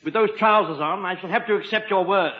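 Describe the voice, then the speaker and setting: An actor playing a haughty, fastidious English butler in a radio comedy, speaking formally with dry sarcasm and an English accent. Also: male voice, high-pitched, lightly acted. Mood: pompous, dry. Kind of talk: 1950s radio comedy acting. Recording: muffled, echoey, very noisy old radio recording.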